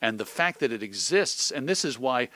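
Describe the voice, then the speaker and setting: A middle-aged man with an American accent telling stories conversationally as an interview guest, reflective and a little halting. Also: mid-range voice, lively intonation, sharp tone, no room sound. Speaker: radio host being interviewed.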